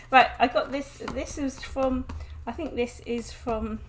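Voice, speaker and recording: A woman from England, chatting casually to viewers on a live stream from her desk, croaky and sniffly with a cold, often laughing. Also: high voice, fairly even intonation, takes it slowly, slight background noise. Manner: chatty, giggly, greets viewers by name. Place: home desk indoors.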